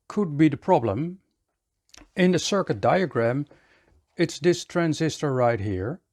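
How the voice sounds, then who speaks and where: A man's voice: a little high, a little mellow. A man talking methodically through a hands-on repair, step by step, as the host. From Netherlands. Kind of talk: hands-on repair tutorial commentary.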